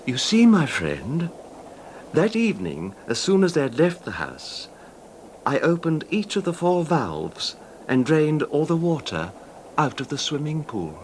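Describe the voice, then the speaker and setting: An actor playing a controlled, wronged husband calmly confessing his revenge in a 1970s radio drama, measured and understated, with slight hesitations. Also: on the high side, a little hiss or hum. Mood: hushed, chilling.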